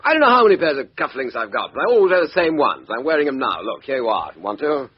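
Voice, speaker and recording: An actor playing a blustering, evasive upper-class English major in a radio mystery, clipped and indignant. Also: male voice, medium pitch, big pitch swings, quick pace, slightly rough. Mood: defiant. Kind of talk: radio mystery drama acting. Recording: dull, muffled radio drama recording.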